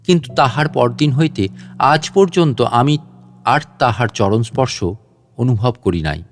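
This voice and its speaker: A man narrating a literary story in a measured, expressive way, with deliberate pauses between phrases. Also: mid-range voice. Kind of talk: dramatic literary story narration in first person.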